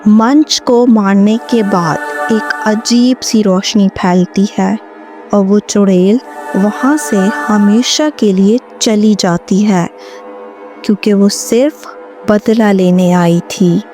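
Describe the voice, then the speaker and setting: A woman narrating a storybook cartoon in a very slow, measured way. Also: mid-range voice, over music.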